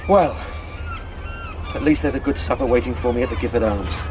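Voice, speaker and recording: A man plays a young English gentleman solicitor in a radio drama, proper in speech but badly rattled. His agitated voice is set against clearly audible, noisy outdoor ambience. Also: medium pitch, a little fast, lightly acted. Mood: muttering, resolute.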